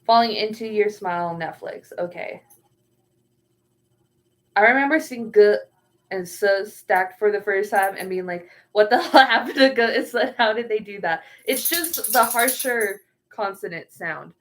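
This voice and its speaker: A woman's voice: medium pitch, measured pace. Young female streamer, chatty and meandering, reads chat aloud. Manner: rambling, trails off searching for words. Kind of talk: casual livestream chat.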